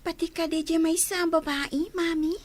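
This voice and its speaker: A woman's voice: extremely high pitch, steady tone, unhurried. A woman voicing an eager, caring young child in an Ilocano radio family drama.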